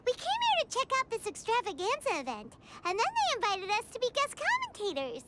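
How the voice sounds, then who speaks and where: A woman voicing a small, chatty, childlike floating companion in an animated video game style, blunt and excitable. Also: a little fast, heavy background noise, exaggerated voice. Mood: chirpy, curious. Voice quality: squeaky, childlike. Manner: refers to self in third person. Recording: loud music behind.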